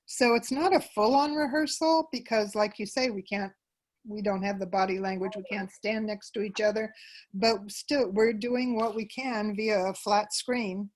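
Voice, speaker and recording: A woman's voice: medium pitch, steady tone, moderate pace, low-quality recording. A woman giving conversational answers as an interview guest about her work in a theater troupe.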